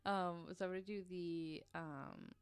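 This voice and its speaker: A woman's voice: on the low side, fairly even intonation, a little slow, smooth, dry recording. An American woman on a podcast panel, telling a story in a casual, rambling, self-correcting way.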